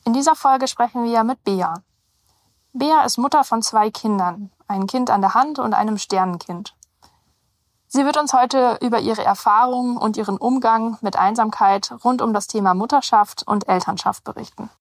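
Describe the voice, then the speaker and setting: Woman, kinda high voice, reading out a podcast intro, smooth. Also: normal speed. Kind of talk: scripted narration.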